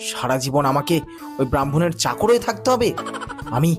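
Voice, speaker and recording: A man's voice: fairly high pitch, expressive, fairly quick, natural voice. A man voicing a cunning, boastful barber's son who poses as a priest in a cartoon moral story, theatrical and self-important. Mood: indignant, determined. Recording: music bed behind the voice.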